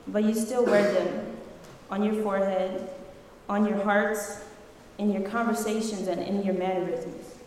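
A woman's voice: medium pitch, darkish tone, slight background noise. Woman, spoken word poet, impassioned, emphatic, rhetorical, strong hall echo. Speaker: student poet. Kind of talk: spoken word poetry recital. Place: large echoey hall. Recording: echoey hall with long reverb.

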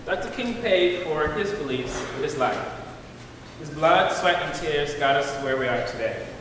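A man's voice: fairly high pitch, animated, moderate pace. A man giving a dramatic, declamatory spoken word recitation. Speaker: student performer in a spoken word program. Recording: very echoey hall, distant, background hiss.

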